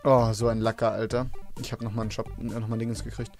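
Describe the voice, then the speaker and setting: A man with a hoarse, mid-pitched voice talks his way through a video game, relaxed and chatty with the odd curse thrown in. Faint game music plays underneath. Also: quick pace. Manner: chatty, swears casually, explains to viewers. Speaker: let's play host. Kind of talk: casual gameplay commentary.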